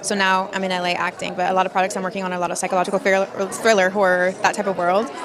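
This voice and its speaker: A woman's voice: medium pitch, flat delivery, rapid-fire. A woman from Jamaica, an actress, reflecting fluently on her life in an interview. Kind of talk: interview answers. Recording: crowd chatter behind. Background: crowd chatter.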